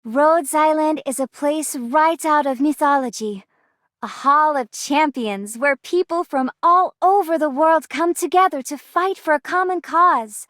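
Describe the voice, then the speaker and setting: A woman's voice: high voice, unhurried, fairly bright tone, dry recording, clean studio sound. An actress playing an idealistic, heroic young woman in a game, speaking in a lofty, grandiose way. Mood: earnest, exalted.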